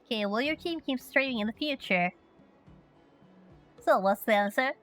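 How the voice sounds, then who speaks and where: Chatty Finnish woman streamer, higher voice. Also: animated, normal speed, fairly bright tone, soft background music. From Finland. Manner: chatty and playful, musing aloud. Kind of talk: casual game commentary, reading questions aloud.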